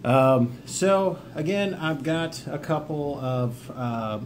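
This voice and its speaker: A man's voice: on the high side, animated, slow, slight background noise. A man giving informal, encouraging instructions to viewers, partly from notes, in a plainspoken way. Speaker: veteran hosting a self-help video series. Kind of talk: instructional talk to camera, reading from notes.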